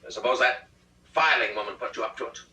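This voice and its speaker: A man's voice: medium pitch, fast talker, pushed and bright, pitched above the performer's own voice. A man voicing a stubborn, scornful wife in a comic short-story reading, curt, dismissive and sarcastic. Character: stubborn, scornful, nagging wife who refuses to budge. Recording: roomy, distant rehearsal recording.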